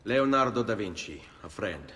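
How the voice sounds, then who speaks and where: A man's voice: lowish voice, very animated, moderate pace, fairly bright tone, big-room sound, a little hiss or hum. An actor playing a determined young Italian nobleman turned assassin in a game, with an Italian accent and an earnest, concise manner. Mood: curious, intent.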